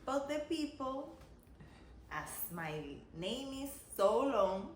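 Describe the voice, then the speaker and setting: A woman's voice: high voice, very animated, slow pace, a little mellow. A woman from the Dominican Republic with a Dominican Spanish accent, chatting casually and playfully as a vlog co-host, insisting and repeating herself for emphasis. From Dominican Republic (Santiago). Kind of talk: casual couple chat. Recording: roomy echo, clear background noise.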